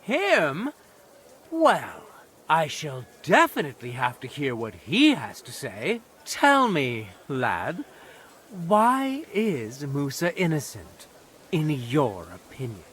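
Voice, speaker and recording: An actor playing a stern, shrewd medieval nobleman in judgment, speaking in a measured, imperious and dryly sardonic way for a video game. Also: fairly high pitch, wildly swooping pitch, a bit bright, slight background noise, full character voice. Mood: sceptical, commanding. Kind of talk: dramatic video game acting.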